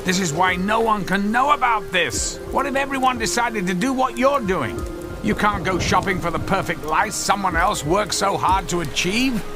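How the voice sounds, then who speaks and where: A man's voice: high voice, lively intonation, normal speed. An actor playing an anxious, remorseful inventor husband in a radio drama, hesitant and stammering as he talks. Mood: agitated, urgent. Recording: music and rain behind the voice. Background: loud music, rain.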